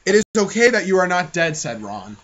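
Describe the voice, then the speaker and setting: Male podcast host, high voice with big swings, giggly and sweary, reading aloud between casual asides; muffled recording. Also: sing-song, a little fast, fairly bright tone, slight background noise. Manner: giggly, self-mocking, foul-mouthed. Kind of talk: reading own childhood fan fiction aloud with casual banter.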